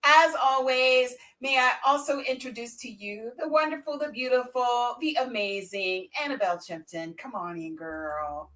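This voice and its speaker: A woman hosting an online talk show with excited introductions and banter, emphatically repeating words for effect. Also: a little high, normal speed, few pauses.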